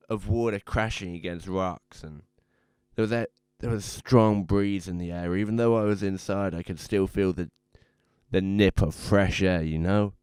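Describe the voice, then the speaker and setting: A man playing a troubled, hard-drinking man who tells his own story in halting, haunted phrases for a radio drama. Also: lowish voice, a little mellow, clear, resonant tone, no room sound, studio quality, natural voice. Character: troubled, drunken man confessing his ruin. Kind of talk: dramatic first-person radio drama monologue. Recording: music playing behind the voice.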